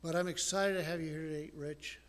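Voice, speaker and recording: A man's voice: on the high side, steady tone, fairly quick, fairly bright tone. A man who pastors the church introduces a guest preacher, talking earnestly about faith to the congregation. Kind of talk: sermon introduction. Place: church hall. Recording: church hall with long reverb.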